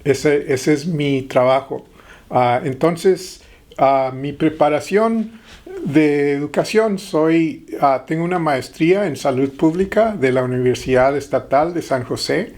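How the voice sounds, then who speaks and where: A middle-aged man from the Texas-Mexico border, a guest in an interview, speaking with hesitant pauses and fillers. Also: fairly high pitch, darkish tone. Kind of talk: interview conversation.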